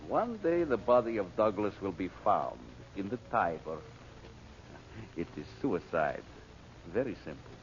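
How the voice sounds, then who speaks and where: An actor playing an imperious, menacing criminal mastermind in an old radio drama, with curt, formal, commanding speech. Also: male voice, low-pitched, very animated, a little slow. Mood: cold, menacing. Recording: dull, noisy old radio recording.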